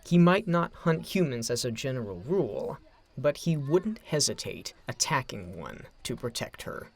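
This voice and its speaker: A man narrating a scripted story in a dramatic, expressive storytelling manner. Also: medium pitch, normal speed.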